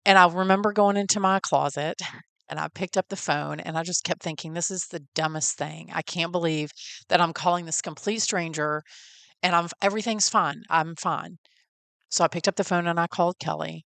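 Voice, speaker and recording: Texan woman with a low, smooth voice. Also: steady tone, normal speed, a bit bright, no echo. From Texas. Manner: friendly, folksy, heartfelt. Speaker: podcast host. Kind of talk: personal storytelling.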